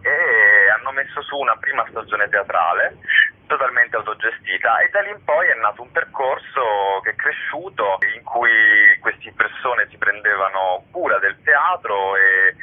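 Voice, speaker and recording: A man answering interview questions as a theatre festival's artistic director, explaining things in an articulate, conversational way. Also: lowish voice. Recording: narrow band, phone-like radio sound.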